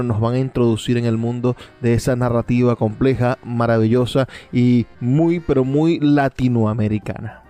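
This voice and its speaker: A man's voice: medium pitch, warm tone. A man hosting a literary programme in a formal, emphatic way. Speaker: programme host. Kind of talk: spoken introduction to a literary programme. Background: music.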